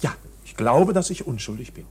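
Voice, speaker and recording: Bewildered accused man, radio drama, very husky, wildly varying pitch, agitated, halting, indignant. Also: animated. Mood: uneasy, hesitant. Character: anxious, bewildered accused man, protesting his innocence, indignant. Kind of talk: German radio play acting.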